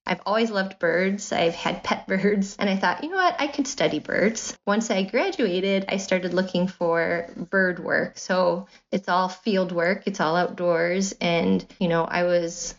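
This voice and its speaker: A woman's voice: fairly low pitch, measured pace, few pauses, muffled recording. A woman in her thirties from Illinois with a Midwest accent, chatting as an interview guest, often laughing and saying "like" and "you know". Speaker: guest, nature preschool founder. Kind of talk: casual interview.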